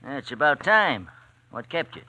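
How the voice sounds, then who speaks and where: An actor playing a fussy old factory worker in an old radio drama, querulous and complaining, on a noisy recording. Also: male voice, medium pitch, very animated, measured pace, sharp tone, heavily acted. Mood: peevish. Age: older.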